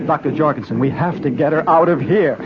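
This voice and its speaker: A devoted, anxious husband in a vintage radio drama. His highish voice is very lively, shifting between soothing and urgent. The sound is dull with obvious noise. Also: brisk, vocal fry. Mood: agitated. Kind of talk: old-time radio drama acting. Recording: muffled, noisy old radio broadcast.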